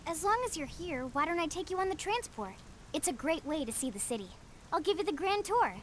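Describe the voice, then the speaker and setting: Game role: chatty young teen girl fixer, very animated high voice, casual, upbeat. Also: a little fast, slight background noise. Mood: breezy, cheerful. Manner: breezy, casual, eager. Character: perky, chatty thirteen-year-old girl mechanic. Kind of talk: video game cutscene acting. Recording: loud music under the dialogue.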